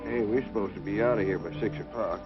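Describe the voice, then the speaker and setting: A man's voice: lowish voice, fast, music in the background, lightly acted. A man acting a folksy, naive, stubborn country man with a rustic Southern American drawl in a 1940s radio comedy. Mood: weary, friendly. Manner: rustic drawl with homespun expressions. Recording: noisy, muffled old radio recording.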